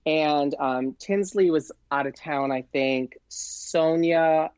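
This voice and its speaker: Guy telling stories on a podcast, chatty n animated, kinda dull lofi audio, no room echo. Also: on the high side, slow pace, clear, resonant tone. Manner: animated, acts out quoted exchanges. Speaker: podcast guest, performer and producer. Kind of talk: casual chatty storytelling.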